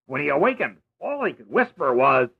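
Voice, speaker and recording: A kindly old godfather telling a fairy tale, played by a man, with a lively, high voice that leaps about. He sounds a little husky, and the recording is tinny like a phone line. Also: brisk, heavily acted. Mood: warm. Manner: theatrical, playful storytelling. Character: kindly older male godfather and storyteller. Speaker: narrator. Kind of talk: storybook narration.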